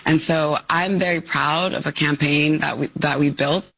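A woman politician answering in a TV interview clip, earnest and measured, sticking to talking points. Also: low-pitched, steady tone, creaky, slightly rough. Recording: muffled broadcast clip.

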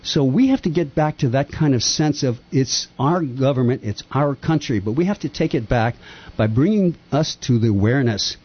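Husky older man, impassioned and rambling. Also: moderate pace. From Japan. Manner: earnest, rambling, lively pitch swings. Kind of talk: impassioned opinion talk. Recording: dull lo-fi sound.

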